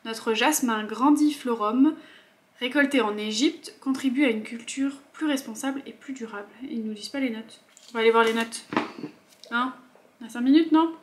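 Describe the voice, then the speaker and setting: A young woman chatting casually as she unboxes beauty products at home, with quick asides and murmured afterthoughts. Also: on the high side, slight background noise. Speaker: beauty vlogger.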